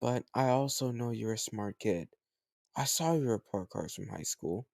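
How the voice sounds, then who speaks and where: A man playing a teasing, slightly older home tutor who gently chides the listener in a conversational, intimate roleplay audio drama. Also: lowish voice, lively intonation, moderate pace, vocal fry, no echo, clean studio sound. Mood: gently chiding.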